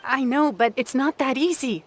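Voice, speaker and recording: A woman's voice: high-pitched, moderate pace, faint music behind, natural voice. A woman playing a worried, dutiful young supply carrier in a video game, earnest and weary. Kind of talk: English video game voice acting.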